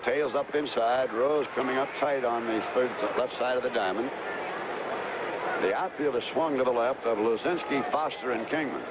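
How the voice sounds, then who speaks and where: Guy doing old 70s ballgame play-by-play, quick with the stats, crowd loud behind him. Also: medium pitch. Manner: brisk, reels off stats and calls plays. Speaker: play-by-play announcer. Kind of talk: live baseball play-by-play. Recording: 1970s broadcast over loud crowd noise.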